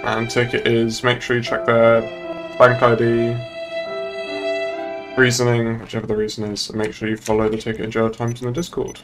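A young man plays a police officer in online roleplay. His voice sits at an ordinary pitch, with music behind it. He talks like a gamer on a stream. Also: monotone, normal speed. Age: young adult. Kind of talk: in-game roleplay chat.

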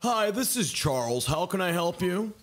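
A man voicing a calm, formal male customer service agent. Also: sits high, normal speed, roomy sound, very noisy, pitched below the performer's own voice. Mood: polite. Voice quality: polite, formal.

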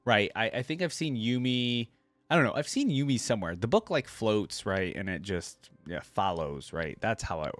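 A man's voice: lowish voice, expressive, normal speed, fairly bright tone, dry recording. A young man reading a story aloud and commenting casually as a reaction video host. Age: young adult.

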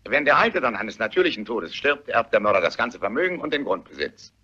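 An actor playing a methodical police inspector who reasons deliberately in a German radio mystery play. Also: male voice, mid-range voice, big pitch swings, sharp tone, slightly rough, exaggerated voice. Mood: analytical. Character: probing, methodical male police inspector on a murder case. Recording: muffled, compressed radio play recording.

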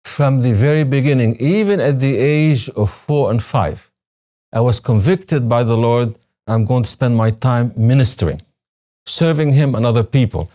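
A man's voice: medium pitch, animated, moderate pace, dark tone. An older man from Syria, a native Arabic speaker with a Syrian Arabic accent, recalling his life as a guest in a studio interview. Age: 60s or older. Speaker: interview guest, retired religion professor. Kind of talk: personal recollection in an interview. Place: television studio, seated at a desk. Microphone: lapel mic.

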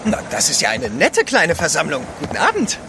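An actor playing a fussy, polite manservant in an audio drama. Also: male voice, fairly high pitch, huge pitch swings, fairly quick, noisy background. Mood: awkwardly polite.

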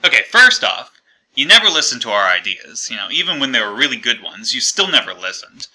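Man acting a whiny, bright-voiced jilted partner. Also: medium pitch, very animated, a little fast, heavily acted, poor audio. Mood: aggrieved, exasperated. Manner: hesitant, conversational, whiny and aggrieved. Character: American colonies as fed-up, awkward jilted partner leaving voicemail. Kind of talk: comedic monologue as a breakup voicemail.

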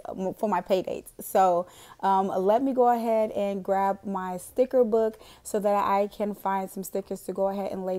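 A young woman with a raspy, hoarse voice from a cold, chatting casually in a voiceover while setting up her monthly budget planner, rambling with lots of fillers. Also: medium pitch, mellow. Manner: chatty, rambling, with frequent "um" and laughs. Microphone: voiceover recorded separately from the footage.

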